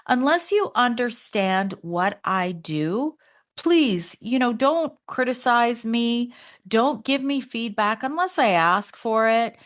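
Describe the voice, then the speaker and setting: A woman in her fifties hosting a solo podcast, talking in an earnest, conversational way that jumps up in pitch for emphasis. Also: fairly bright tone. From Canada. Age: 50s. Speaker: podcast host.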